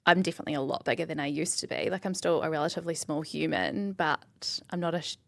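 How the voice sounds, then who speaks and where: A New Zealand woman speaks candidly as a podcast guest, her lowish voice full of gravelly fry. She talks fast and openly, peppering her thoughts with casual fillers. The recording is dry and close. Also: fairly even intonation, fairly bright tone, no room sound. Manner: candid, quick, chatty with "like" fillers. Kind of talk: casual interview.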